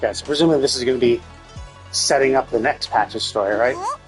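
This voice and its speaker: A man with a slightly husky voice streaming casual game commentary, thinking aloud in a questioning, rambling way. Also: mid-range voice, fast talker, faint music behind. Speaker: live streamer.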